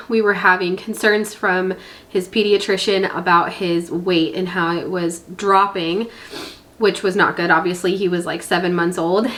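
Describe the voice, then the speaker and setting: A woman's voice: medium pitch, a bit bright. A woman with a nasal voice, congested from a head cold, talking casually to camera as a vlog host.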